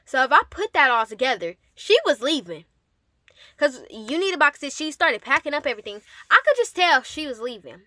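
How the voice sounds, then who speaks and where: Bright, high-voiced woman telling stories casually. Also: moderate pace, no room sound, studio quality. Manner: animated, emphatic, sassy. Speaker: storyteller and host addressing an audience. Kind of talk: casual personal storytelling with rhetorical questions.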